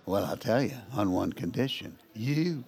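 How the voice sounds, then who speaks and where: An actor playing a goofy, folksy, boozy vice president in a comedic audio drama, with a stammering, rambling, crude and jokey delivery. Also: male voice, on the low side, expressive, moderate pace, darkish tone, slightly rough. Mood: gossipy, exasperated.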